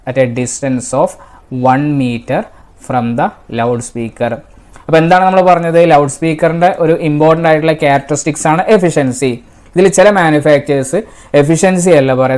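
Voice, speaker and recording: Female instructor, Malayali accent, low-pitched voice, didactic exam-prep lecture delivery with deliberate emphasis on key points. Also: darkish tone. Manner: didactic, asks and answers own questions, repeats key points. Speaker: engineering instructor.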